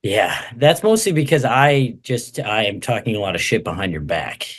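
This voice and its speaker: A man's voice: fairly low pitch, lively intonation, moderate pace, slightly rough. A man co-hosting a comedy podcast, trading jokes and laughing a lot as he makes fun of himself. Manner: joking, self-deprecating, laughs often. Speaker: podcast co-host. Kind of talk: casual comedic banter.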